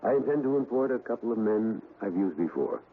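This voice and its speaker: An actor playing a grave, determined ghost hunter in a 1970s radio drama, speaking deliberately and dramatically. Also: male voice, on the low side, expressive. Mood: grave, shaken. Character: grave, determined male ghost hunter, steady under fear. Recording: tinny, hissy vintage radio recording.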